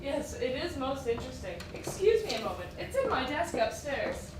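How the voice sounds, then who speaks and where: Naive young wife for period stage play , female actor: earnest woman, extremely high voice, distant and echoing. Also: sing-song, moderate pace. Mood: polite. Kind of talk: stage acting in a period one-act play. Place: theatre stage, recorded from the audience area. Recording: very echoey, noisy stage recording from far off.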